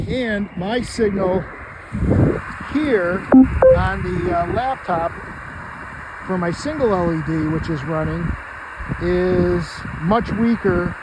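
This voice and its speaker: A man's voice: high voice, noisy background. A man narrating an informal explanatory vlog as a radio hobbyist, thinking aloud in a hesitant, conversational way. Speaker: amateur radio hobbyist presenter.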